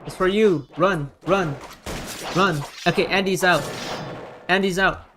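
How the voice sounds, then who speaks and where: A man's voice: sits high, background noise. Sex: man. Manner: rallying gamer. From United States. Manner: rallying teammates with quick repeated calls. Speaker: gamer streamer. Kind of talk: casual gameplay commentary.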